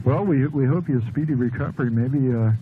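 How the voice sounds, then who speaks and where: A man's voice: medium pitch, brisk, narrow, tinny audio. A man hosting a talk radio call-in show, putting brief questions and murmured acknowledgements to a caller, on a noisy 1970s radio recording.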